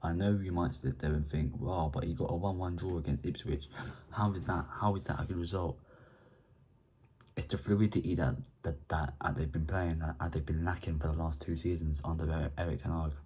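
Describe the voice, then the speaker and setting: A man's voice: rumbling low, steady tone, a little fast, dark tone, slightly rough. A young man from Ireland hosting a casual football match preview, rambling and often restarting his words. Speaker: football fan channel host.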